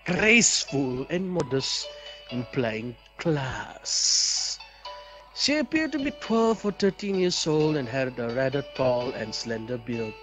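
A man's voice: medium pitch, big pitch swings, takes it slowly, fairly bright tone. A man hosting a gaming video, reading a visual novel aloud expressively and adding his own commentary. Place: small indoor room, seated at webcam. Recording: slightly dull, lo-fi microphone sound. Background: faint game music.

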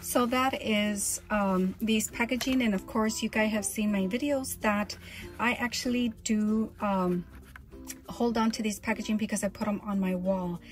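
A woman's voice: mid-range voice, fairly even intonation, a little slow, faint music behind. A woman chatting casually in a vlog, showing her purchases and gushing, often restarting her sentences.

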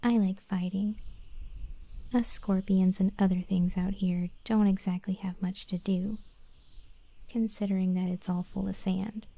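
A woman voicing a brash but begrudgingly kind tsundere scorpion girl in a soft, whispered ASMR roleplay, teasing and slangy, close to the microphone. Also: medium pitch, vocal fry, no room sound. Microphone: close, dry ASMR microphone.

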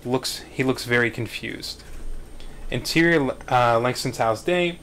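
A man's voice: medium pitch, unhurried, a bit bright, slight background noise. A man narrating the stage directions of a screenplay at a live table read.

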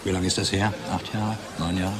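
An actor playing a defensive, world-weary research scientist in German-style radio drama dialogue. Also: male voice, low voice, level tone, moderate pace, crowd noise, close to an everyday voice. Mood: weary, resigned. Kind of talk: dialogue radio-play acting.

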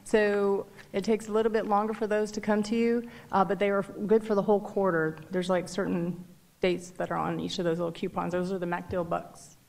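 A woman's voice: mid-range voice, level tone, brisk, gravelly, darkish tone. A woman, making informal announcements about base events at a town hall. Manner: chatty, informal, says y'all. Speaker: base services staffer.

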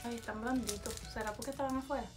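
A woman's voice: on the high side, fairly even intonation, fast, over music. A young woman with a Cuban Spanish accent, chatting casually to camera in a small bedroom and slipping English words into her talk. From Cuba. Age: young adult. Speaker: vlogger. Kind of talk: casual vlog, taste test.

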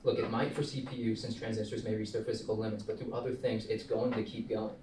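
A man acting as a brash young male startup founder, insistent and preachy, in a fairly low voice. It is a film table read, picked up from a distance in an echoey room. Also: little pitch movement, fast, natural voice. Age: young adult. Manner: insistent, preachy salesman delivery. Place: small meeting room, indoors. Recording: roomy, distant mic, faint noise.

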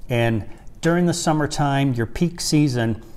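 A man in his forties, a farmer and co-host, giving measured, plain-spoken advice in a casual chat. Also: mid-range voice, a little mellow. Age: 40s. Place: small wood-panelled room indoors by a stove. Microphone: lapel mic.

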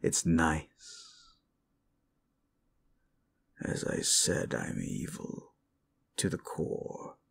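A man's voice: rumbling low, wildly swooping pitch, drawn-out words, gravelly, long pauses, husky, pitched below the performer's own voice, full character voice. A man voicing a cruel, condescending demon in an intimate audio roleplay, taunting the listener with drawling sarcasm. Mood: menacing, gloating. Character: condescending male demon, gleefully cruel and mocking.

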